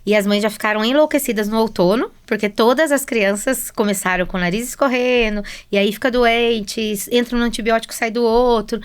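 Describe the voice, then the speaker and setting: Female expert guest, Brazilian accent, slightly high voice, explains in everyday conversational style with frequent tag questions. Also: brisk, few pauses, clear, resonant tone. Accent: Brazilian Portuguese. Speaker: guest nutritionist.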